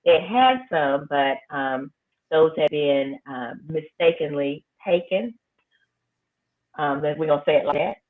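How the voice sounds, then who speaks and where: A woman high school principal, talking firmly and emphatically in a casual interview. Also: lively intonation, unhurried. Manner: emphatic, firm, repeats phrases for effect. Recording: tinny phone-like line.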